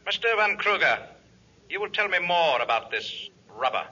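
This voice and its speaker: An actor playing an arrogant visitor from outer space in a 1950s radio serial, giving orders in stilted, formal speech. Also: male voice, high-pitched, very animated, normal speed, bright, forceful tone, full character voice. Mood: haughty. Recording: dull, raspy 1950s radio recording.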